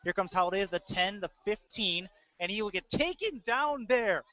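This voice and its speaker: Dull lo-fi audio, high-pitched male voice, American accent, live play-by-play football announcer. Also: expressive, normal speed, pushed and bright. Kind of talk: live American football radio-style commentary.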